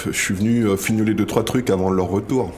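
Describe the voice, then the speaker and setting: A man's voice: on the low side, fairly even intonation, fast, darkish tone, slight background noise, natural voice. A man playing an eager, hesitant colleague full of ideas, with stammering starts, in an audio comedy. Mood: flustered, eager. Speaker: amateur audio drama actor. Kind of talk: French audio comedy acting.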